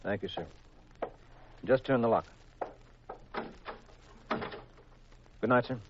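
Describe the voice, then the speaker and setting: An actor playing a cool, self-assured private detective in a 1950s radio drama, composed and clipped on a vintage recording. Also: male voice, medium pitch, moderate pace, dull audio, slight background noise, lightly acted. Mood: attentive, businesslike. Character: cool, self-assured married male private detective, narrating his case.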